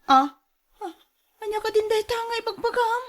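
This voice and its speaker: Woman acting evasive Ilocano wife, very high-pitched. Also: expressive, normal speed. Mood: defensive. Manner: whining, defensive, pleading. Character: guilty, evasive, pleading wife. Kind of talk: Ilocano radio drama acting.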